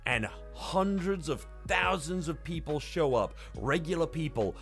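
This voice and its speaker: A man's voice: on the high side, lively intonation, a little slow, a bit bright, faint music behind. A man on a podcast panel, casually talking through a film review.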